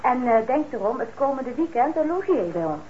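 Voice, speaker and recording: An actress playing a fretful, bossy but caring middle-aged daughter in a radio play, nagging briskly. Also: female voice, high-pitched, sing-song, darkish tone. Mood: fussing. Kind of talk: Dutch radio play acting. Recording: dull, noisy old radio recording.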